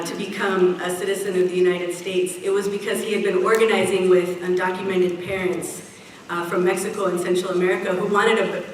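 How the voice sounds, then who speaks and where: A middle-aged woman delivers a prepared community speech in a measured, earnest way, drawing out the phrases she wants to land. Her voice sits at an ordinary pitch for a woman. It is caught from well back in a very reverberant hall, with a faint hiss underneath. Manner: measured and earnest, slowing to stress key phrases. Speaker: event speaker. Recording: echoey hall, picked up from a distance, faint hiss.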